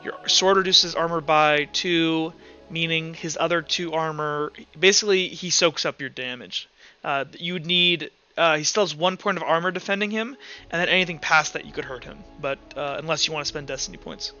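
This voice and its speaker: A high-voiced man narrates a tabletop roleplaying game in a loose, sweary way. His voice is bright and forward, on a slightly dull lo-fi mic with music playing underneath. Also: normal speed, clean tone. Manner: informal, sweary. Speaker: game master.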